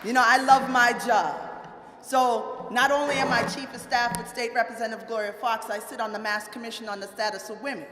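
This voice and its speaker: A woman's voice: a little high, steady tone, fairly quick, background noise. A middle-aged woman from Montserrat giving an informal, motivational public speech, playfully putting rhetorical questions to her audience. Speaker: guest speaker, political chief of staff. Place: large hall at a live event. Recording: echoey hall with faint crowd.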